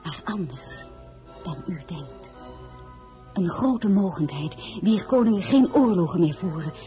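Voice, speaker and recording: An actress playing a poised, idealistic crown princess in a historical drama, speaking in a measured, earnest way. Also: female voice, lowish voice, expressive, dark tone, faint music behind. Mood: solemn, idealistic. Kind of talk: dramatised historical novel, radio drama acting.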